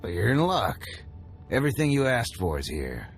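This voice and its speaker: An actor playing a good-hearted working-class salvager in a video game, folksy, drawling and earnest. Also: male voice, medium pitch, wildly swooping pitch, normal speed. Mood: grateful. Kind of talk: video game character acting. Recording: music behind the voice.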